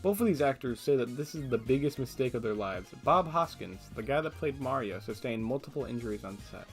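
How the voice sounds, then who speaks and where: A man's voice: medium pitch, expressive, fairly quick. A man giving casual, opinionated scripted commentary as a video essayist, with a sarcastic streak. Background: background music.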